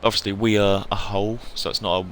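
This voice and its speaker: A man's voice: on the low side, flat delivery, fast talker, a little hiss or hum. A man livestreaming a video game, chatting casually with viewers in an earnest, effusive way and repeating himself for emphasis.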